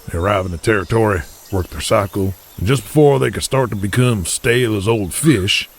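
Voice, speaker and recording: An actor playing a gruff, shrewd wrestling promoter from Texas in an audio drama, with a folksy, deliberate way of speechifying. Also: male voice, lowish voice, slight background noise, lightly acted.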